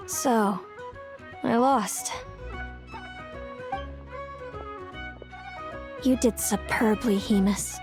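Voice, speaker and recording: A woman's voice: mid-range voice, takes it slowly. A woman playing a composed, self-assured young female competitor in a video game. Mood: gracious in defeat. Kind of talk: game voice acting. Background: loud background music.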